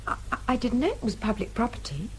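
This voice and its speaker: A woman's voice: on the high side, animated, fast, slight background noise, close to an everyday voice. An actress playing a polite, plucky young British woman in a radio drama, with a well-mannered, earnest way of speaking and a British accent. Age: young adult.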